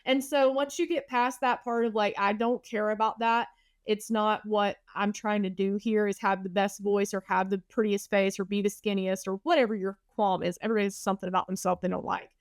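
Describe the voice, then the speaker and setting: Host voice: Southern woman, mid pitch, chatty, conversational, dry close-miked sound. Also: fairly even intonation, brisk, no echo. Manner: chatty, asks rhetorical questions. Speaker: podcast host. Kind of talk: casual interview talk.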